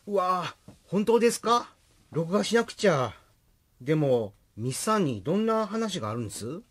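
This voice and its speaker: A man's voice: high-pitched, very animated, moderate pace, fairly bright tone, full character voice. A man playing an eager, sociable accountant in a comic radio drama.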